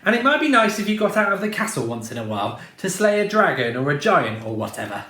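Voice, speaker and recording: A man's voice: high voice, big pitch swings, fast talker, pushed and bright, reverberant, pitched above the performer's own voice, exaggerated voice. A man doing a nagging, fed-up princess. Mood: exasperated. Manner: nagging, complaining.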